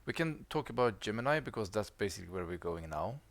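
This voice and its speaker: Low-voiced man chatting over a game. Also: expressive, quick pace. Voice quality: offhand, thinking-aloud manner. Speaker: gameplay streamer. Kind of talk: casual playthrough commentary.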